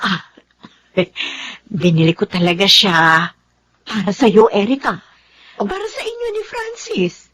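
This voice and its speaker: A woman's voice: mid-range voice, animated, takes it slowly, pauses often, a bit bright. A woman acting a nosy, well-meaning middle-aged aunt in a Filipino radio drama, bustling and persuasive. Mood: persuasive, proud.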